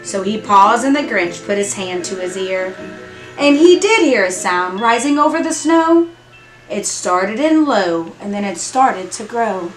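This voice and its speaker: A woman's voice: mid-range voice, expressive, unhurried. A woman reading a rhyming children's story aloud, expressively, with singsong rhythm and dramatic emphasis. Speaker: storybook reader. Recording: roomy echo with music behind.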